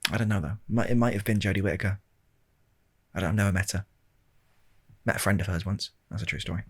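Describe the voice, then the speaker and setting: A British man telling a rambling comic ASMR story in a deadpan, hesitant way, with a husky, gravelly voice, close to the microphone. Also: low-pitched. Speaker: video storyteller. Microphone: close handheld-recorder style microphone.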